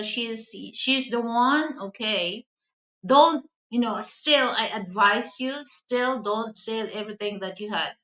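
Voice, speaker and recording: A woman with a Filipino accent chats casually to her viewers in a bright, forward voice. She often circles back and repeats words as she builds a sentence, taking her time. The sound is roomy and somewhat dull. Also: mid-range voice. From the Philippines. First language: Hiligaynon. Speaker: video channel host. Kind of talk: casual vlog chat with viewers.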